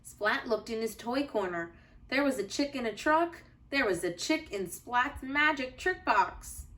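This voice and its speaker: A woman teacher reading a children's storybook aloud with deliberate, expressive phrasing for young listeners. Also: a little high, distant mic.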